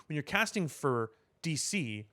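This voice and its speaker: A man's voice: a little high, big pitch swings, measured pace, bright, forceful tone. A man co-hosting a casual podcast, giving firm opinions and explaining his points at length. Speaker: podcast co-host. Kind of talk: casual pop culture discussion.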